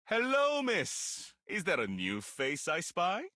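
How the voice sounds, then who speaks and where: A woman's voice: fairly low pitch, huge pitch swings, slow pace, fairly bright tone, no room sound, clean studio sound, full character voice. A woman voicing a cheerful, joking male fishing instructor in a visual novel, with encouraging, exclamatory delivery. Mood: jovial. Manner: jolly, swooping exclamations. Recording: music behind the voice.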